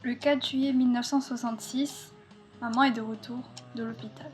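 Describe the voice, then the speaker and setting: An actress playing a storyteller who recounts a family's moments in short, scripted dramatic lines. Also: female voice, on the high side, fairly even intonation, moderate pace, darkish tone, soft background music, close to an everyday voice. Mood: narrating, tender.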